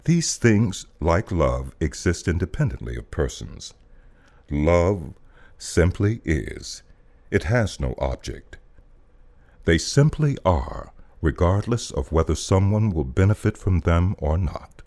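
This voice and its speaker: Male voice-over narrator, deep register, highly varied intonation; solemn, emphatic, poetic spiritual reading. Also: sing-song, slow, a little mellow.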